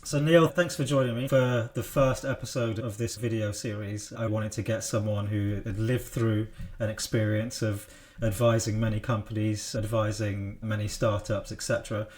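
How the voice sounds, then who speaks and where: A man's voice: fairly low pitch, fairly even intonation, normal speed, few pauses, darkish tone. Friendly male interview host. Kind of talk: casual interview hosting.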